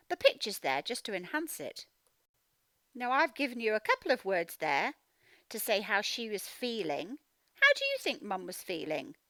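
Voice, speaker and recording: A woman teaching a children's reading lesson, expressively reading aloud and sounding out words slowly. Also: mid-range voice, bright, forceful tone, no echo. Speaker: phonics teacher.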